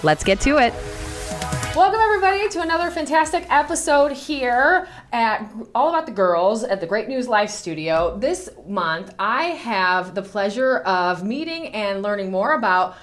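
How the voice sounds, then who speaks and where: A woman's voice: a little high, animated, normal speed, soft background music. A woman hosting an interview podcast in a studio, upbeat and eager, with plenty of "um" and "uh". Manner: upbeat, eager, many fillers. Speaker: podcast host.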